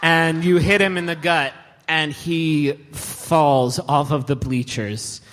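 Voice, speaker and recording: Male game master, high voice, dramatic colloquial narration, unhurried, live hall reverb. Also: a bit bright, a little hiss or hum. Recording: echoey hall, live show with music.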